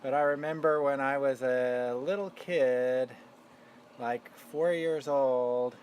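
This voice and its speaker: A man in his fifties, from Texas, tells stories from his childhood in an easygoing, halting manner full of fillers. His voice sits at an ordinary pitch for a man. He is recorded outdoors in a backyard, with faint outdoor noise behind him. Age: 50s. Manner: relaxed, reminiscing, halting with fillers. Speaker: interviewee. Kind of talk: casual family interview storytelling.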